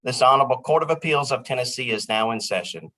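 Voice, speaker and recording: A man's voice: medium pitch, fast. A man serving as a court officer, calling out the formal opening of a court session and announcing the case. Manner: ceremonial, loud calling out.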